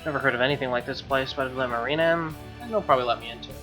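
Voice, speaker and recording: A man's voice: mid-range voice, very fast. A young man doing casual, slangy game commentary and reading the narration aloud, often sounding exasperated. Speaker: gamer doing a playthrough. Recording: dull lo-fi mic, music underneath. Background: game music.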